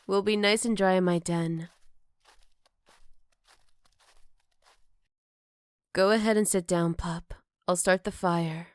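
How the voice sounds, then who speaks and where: A woman playing a gentle, caring motherly werewolf in an ASMR roleplay, soft-spoken with hesitant pauses and tender teasing. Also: mid-range voice, no room sound, clean studio sound, lightly acted. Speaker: voice actor. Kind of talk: ASMR roleplay addressed to the listener.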